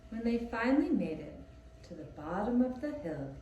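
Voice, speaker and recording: Woman storyteller for small children. Soft mellow tone, unhurried delivery, very roomy recording. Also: mid-range voice, sing-song, lo-fi sound. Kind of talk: scripted storytelling for young children. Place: echoey room, puppet show performance. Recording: roomy echoing sound with faint hiss.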